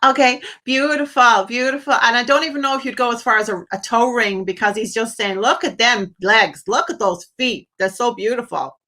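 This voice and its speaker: A woman's voice: fairly high pitch, moderate pace, few pauses, sharp tone. A woman hosting a live phone-in as a psychic medium, effusive with endearments, relaying messages to a caller. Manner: effusive, uses endearments and blessings; relays messages in quoted asides.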